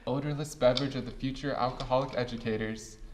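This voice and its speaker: A man acting a boozy, sardonic, overworked graduate teacher in a comedy web series, speaking in dry, wordy deadpan. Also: mid-range voice, fast talker, a little hiss or hum, close to an everyday voice, rough recording. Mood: sardonic, weary.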